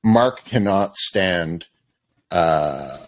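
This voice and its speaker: A man with a very low voice, hosting a podcast in a studio. He is from Canada and talks with lively energy, joking and confessing to his guests in casual chat. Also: very slow, no room sound. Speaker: podcast host.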